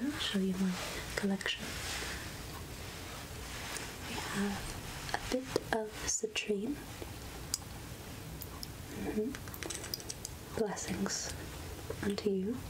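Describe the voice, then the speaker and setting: A woman voicing a friendly, centuries-old witch of the woods in a soft-spoken ASMR roleplay, gentle and musing in a breathy whisper. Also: mid-range voice, fairly even intonation, background noise, lightly acted. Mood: gentle, helpful. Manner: slow, gentle, musing, archly addressing a mortal. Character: three-hundred-year-old witch of the woods, friendly, wryly curious.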